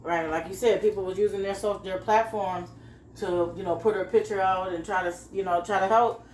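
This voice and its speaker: A woman talks quickly in a casual, opinionated phone chat, with a medium-pitched voice and a matter-of-fact manner. The call sounds echoey, with faint noise behind her. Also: steady tone, a little mellow. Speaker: company interviewer who screens job candidates.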